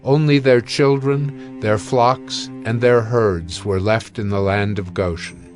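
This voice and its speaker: Measured male Bible narrator. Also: lowish voice, steady tone, darkish tone, faint music behind. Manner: measured, formal. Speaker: scripture narrator. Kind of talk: solemn scripted Bible narration.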